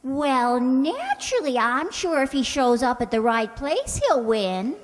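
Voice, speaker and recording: Woman acting airheaded sitcom gal, super high slow voice, naive n cheerfully sure, big echoey hall. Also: very animated. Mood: earnest, naively confident. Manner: earnest, guileless, ditzy. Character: scatterbrained, naive, cheerfully confident young working woman. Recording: echoey hall, long reverb.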